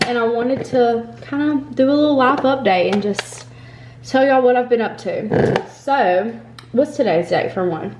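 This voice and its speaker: A woman's voice: a little high, a little slow, background noise. A young woman, talking casually in a home vlog, a little stuffy from a cold, rambling and upbeat. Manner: rambling, upbeat, filler-heavy. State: congested from a cold. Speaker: vlogger. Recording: roomy home echo.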